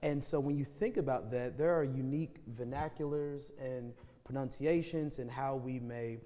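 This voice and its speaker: A measured, reflective man on a university panel, often adding "right?" to his points. His voice is mellow and dark in tone. It rings with a long hall reverb. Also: mid-range voice. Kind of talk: panel discussion.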